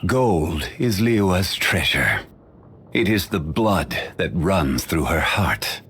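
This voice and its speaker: An actor voicing a dignified, ancient, learned man in a video game, speaking in a measured, formal and courteous way. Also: low voice, big pitch swings, fairly bright tone, slight background noise, heavily acted. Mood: calm, formal.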